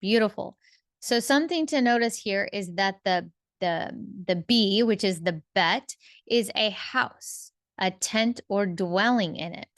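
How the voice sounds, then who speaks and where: A woman with a voice of ordinary middle pitch teaches scripture at a slow, unhurried pace. She thinks aloud as she goes, restarting phrases and listing meanings one word at a time. The recording is dry and close, with no room echo. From English-speaking. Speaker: Bible teacher. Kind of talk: relaxed Bible teaching monologue.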